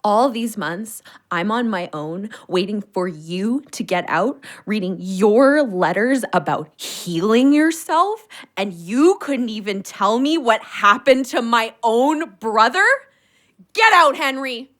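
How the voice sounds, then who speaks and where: An actress playing a proud, grieving younger sister in an audio drama, wounded, bitter and confrontational. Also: female voice, on the high side, lively intonation, slow pace, a bit bright. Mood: betrayed, angry. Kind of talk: emotional audio drama acting.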